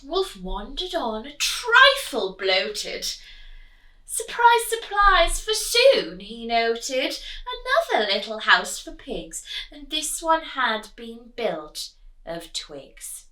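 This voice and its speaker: A woman teacher reads a rhyming story to children with a high, lively, sing-song delivery, taking her time over each line. Her bright voice sits back from the mic in an echoey room. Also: slow pace, recorded from a distance. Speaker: teacher reading aloud. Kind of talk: expressive storybook read-aloud for children.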